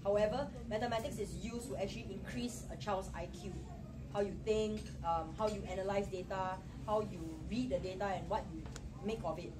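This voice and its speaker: A woman's voice: mid-range voice, a little fast, distant mic, noisy background. A woman from Singapore with a Singaporean accent, presenting a math-teaching seminar and often checking in with her listeners with "okay?". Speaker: education presenter.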